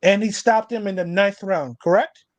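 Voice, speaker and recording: High-voiced profane man in his twenties. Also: moderate pace, dry recording, dull audio. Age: 20s. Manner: profane, interjecting, slangy. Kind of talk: casual boxing debate.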